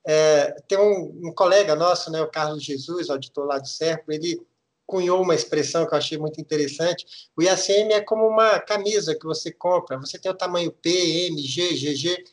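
Man, mineiro Brazilian accent, middle-aged, measured explanatory tone, fairly high voice. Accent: Minas Gerais Brazilian Portuguese. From Minas Gerais, Brazil. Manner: measured, hesitant restarts, explanatory. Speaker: guest auditor. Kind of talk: explanatory podcast interview.

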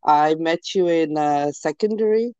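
A young woman hosts a casual podcast chat, friendly and loose with fillers. Her voice is very low and mellow, relaxed in tone. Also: measured pace, smooth, no room sound, muffled recording. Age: young adult. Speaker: podcast host.

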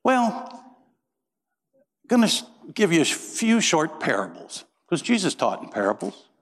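A man's voice: on the high side, wildly swooping pitch, a little slow, fairly bright tone. A middle-aged man from Indiana preaching a sermon as pastor, folksy and joking as he tells stories. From Indiana, USA. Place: church. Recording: reverberant church sanctuary.

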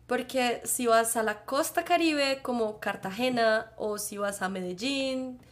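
A woman's voice: on the high side, a little slow. A young adult woman from Colombia with a Bogotá accent, a podcast guest who talks at length in an easy, chatty way and laughs often. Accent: Bogotá Colombian Spanish. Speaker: guest, Spanish teacher. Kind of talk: casual podcast interview.

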